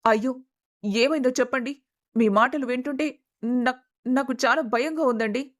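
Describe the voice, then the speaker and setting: A woman's voice: high-pitched, moderate pace, lightly acted. A woman playing an anxious, tearful middle-aged wife and mother in a melodramatic family story. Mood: worried, fearful.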